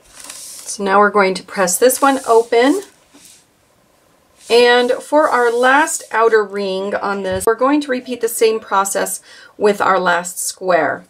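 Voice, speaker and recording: A woman calmly walking viewers through a quilt block step by step, with occasional fillers as she works. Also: mid-range voice. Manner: calm, instructive, with occasional fillers. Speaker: quilting instructor. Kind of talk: step-by-step craft tutorial.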